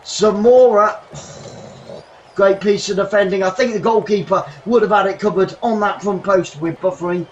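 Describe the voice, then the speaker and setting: Excitable man calling a match live. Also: sits high, normal speed, muffled recording, slight background noise. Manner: excitable, rising to shouts on chances. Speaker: watchalong host. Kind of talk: live football watchalong commentary. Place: home bedroom.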